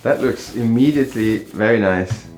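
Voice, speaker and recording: A man's voice: mid-range voice, moderate pace, darkish tone, echoey room, soft background music, poor audio. A man chatting casually from off camera in a small laundry room, making dry, teasing remarks. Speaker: vlogger's partner behind the camera. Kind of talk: casual chat.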